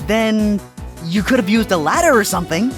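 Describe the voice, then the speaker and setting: A man acts out a cornered fox defense attorney, quick-tongued and increasingly desperate, his pitch bouncing around as he argues. Soft background music runs beneath this fan-made storybook reading. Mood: arguing. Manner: animated, swings from quick retorts to deflated mumbling. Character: flustered fox defense lawyer, sly but desperate.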